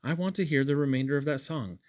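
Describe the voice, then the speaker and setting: A man's voice: fairly high pitch, fast, natural voice. A man voicing an eager, sweet, chatty young woman in a storybook, with gushing exclamations. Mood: lively, gushing.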